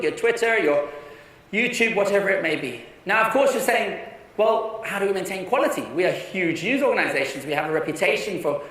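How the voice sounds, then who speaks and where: A young man giving a conference talk in an energetic, persuasive way, often restarting his sentences. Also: sits high. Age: young adult. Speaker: conference speaker. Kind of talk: keynote talk. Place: large conference hall. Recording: echoey hall from far off.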